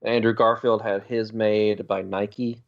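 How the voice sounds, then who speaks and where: Low-voiced man, slightly dull recording. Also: little pitch movement, a little slow, gravelly. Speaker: podcast co-host. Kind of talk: casual chat.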